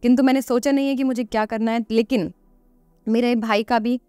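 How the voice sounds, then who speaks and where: A woman's voice: a little high, fairly even intonation, brisk, mellow, clean tone, no echo, faint music behind. A woman guest on a podcast, explaining earnestly and conversationally, repeating phrases for emphasis and posing rhetorical questions.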